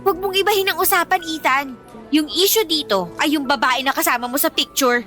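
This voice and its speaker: A woman acting a jealous, spiteful teenage girlfriend in a Filipino radio drama, confrontational and sharp-tongued. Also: sits high, a little fast, faint music behind. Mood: jealous, accusing.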